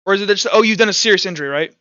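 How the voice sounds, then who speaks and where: A man speaking as game master, narrating a tabletop roleplaying game in an informal, halting, animated way. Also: high voice, no room sound, muffled recording.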